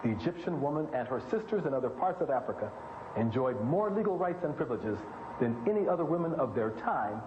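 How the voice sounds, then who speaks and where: Male presenter, deliberate lecture-style narration, emphatic phrasing, roomy and dull lo-fi recording. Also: medium pitch, sing-song. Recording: roomy, noisy, dull lo-fi audio.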